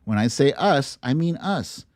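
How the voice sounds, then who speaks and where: A man hosting an online show in a playful, animated, casual style with some swearing. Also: mid-range voice, unhurried. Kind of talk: casual livestream chat, comic picture-book reading and political commentary.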